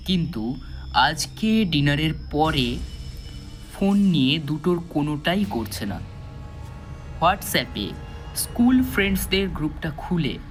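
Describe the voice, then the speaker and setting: Higher-pitched male thriller storyteller. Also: fairly bright tone. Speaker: narrator. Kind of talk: scripted storytelling narration for a psychological thriller. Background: background music.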